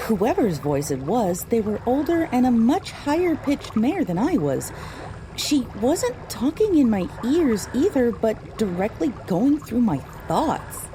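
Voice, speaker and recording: A woman reading scripted first-person audiobook narration in a measured, dramatic storytelling manner. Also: fairly high pitch, very animated, warm tone, slight background noise. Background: music.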